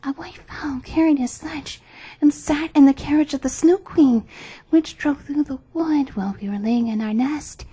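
A woman voicing a gentle wood pigeon from a storybook, mournful, with drawn-out cooing calls. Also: high-pitched, voice pushed up, close to an everyday voice. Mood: plaintive, cooing. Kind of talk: storybook character voice.

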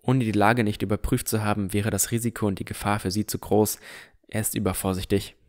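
A man's voice: fairly low pitch, flat delivery, fairly quick. A man narrating a fantasy audio drama in the first person from a script.

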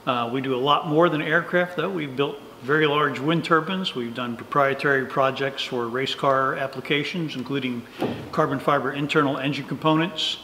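A man in his sixties giving an unscripted technical shop tour, often pausing mid-phrase as he explains. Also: medium pitch, pushed and bright. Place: large indoor workshop and hangar. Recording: roomy workshop echo, faint noise.

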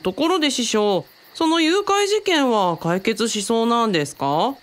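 A woman's voice: fairly high pitch, lively intonation, measured pace. Woman playing a polite, modest young assistant. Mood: concerned. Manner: deferential, gently dry. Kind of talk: radio drama dialogue.

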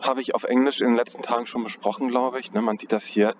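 A man at an ordinary middle pitch walks through market charts in an unhurried, thinking-aloud way, stopping to correct his own wording. The audio is dull and lo-fi. Clear outdoor noise and passing traffic sit behind him. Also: fairly even intonation. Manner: thinking aloud, hesitant, self-correcting, chuckles at himself. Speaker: trading analyst. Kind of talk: casual chart analysis commentary. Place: outdoors on a cold day.

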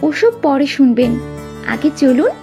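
Woman voicing a high-pitched young island girl. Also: sing-song, measured pace, mellow. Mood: caring. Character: young island girl, lone castaway, caring. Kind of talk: Bengali fairy-tale cartoon dubbing. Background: music behind the voice.

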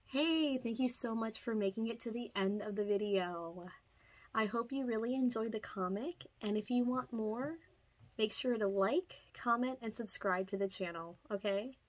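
A woman's voice: fairly high pitch, normal speed. A young woman hosting a channel, talking casually and in an upbeat, friendly way to her viewers. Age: young adult. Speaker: channel host. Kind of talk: casual video intro and outro. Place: bedroom.

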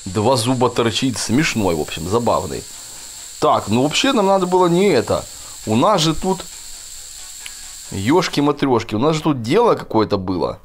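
A man giving casual, chatty gameplay commentary for children, often addressing his viewers directly. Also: mid-range voice. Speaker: gaming video host. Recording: game music behind the voice.

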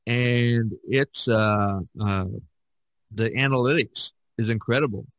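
A man hosting a casual podcast discussion, thinking aloud in a halting way and repeating words. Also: mid-range voice, no room sound. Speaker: podcast host and artist.

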